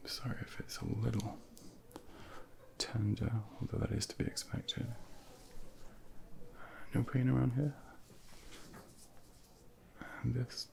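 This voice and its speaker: A man role-playing a junior doctor in a soft-spoken ASMR exam, half whispering in a gentle, hesitant, reassuring bedside manner on a close binaural microphone. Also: mid-range voice, flat delivery, slow pace, gravelly, pauses often. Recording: close binaural ASMR, faint background noise.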